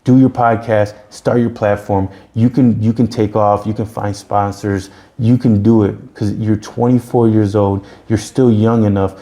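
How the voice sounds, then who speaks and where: A man's voice: fairly low pitch, flat delivery, a little slow, hardly any pauses, mellow. A man in his forties hosting a podcast, giving an earnest, repetitive pep talk in casual chat. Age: 40s. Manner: earnest, repeats himself, pep-talk style. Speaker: podcast host.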